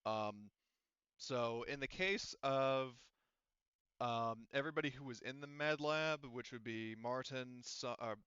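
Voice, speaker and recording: A man running a tabletop roleplaying game as game master, explaining rulings in a halting, self-correcting way. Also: medium pitch, pushed and bright, clear, resonant tone, no room sound, highs cut off.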